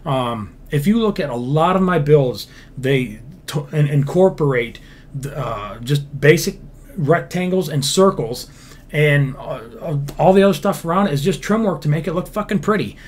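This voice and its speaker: A man's voice: a little high, lively intonation, normal speed. A man hosting a casual livestream, reading viewer comments and answering them bluntly, swearing freely and rambling through lots of fillers and restarts. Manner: profane, blunt. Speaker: livestream host, game builder.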